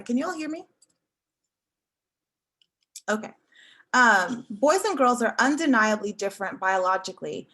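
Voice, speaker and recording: A woman reading a prose essay aloud at a literary event in a measured, earnest way. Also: a little high. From Africa. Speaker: event reader.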